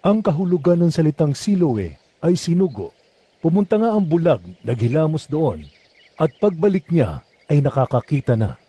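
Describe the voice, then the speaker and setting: A man's voice: a little high, big pitch swings, moderate pace, dark tone, slightly rough. A man giving scripted narration of scripture as the narrator.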